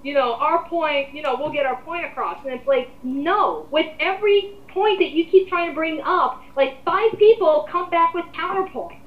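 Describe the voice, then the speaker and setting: A woman's voice: sits high, normal speed, few pauses. A woman on a casual group rant, talking in an exasperated, emphatic way with plenty of swearing. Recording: muffled, echoey amateur voice recording.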